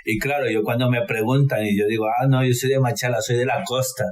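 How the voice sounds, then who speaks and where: A man's voice: mid-range voice, steady tone, brisk. A man from Ecuador, chatting casually on a podcast in a rambling way with many restarts. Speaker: podcast co-host. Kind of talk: casual chat.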